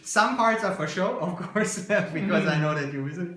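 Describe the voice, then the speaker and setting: A man's voice: high-pitched, normal speed, a bit bright. A man in his thirties with a German accent, explaining his work informally on a workshop tour, often searching for words. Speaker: company founder giving a workshop tour. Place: small workshop room, indoors. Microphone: handheld camera a couple of metres away. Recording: roomy handheld video, background noise, clicks.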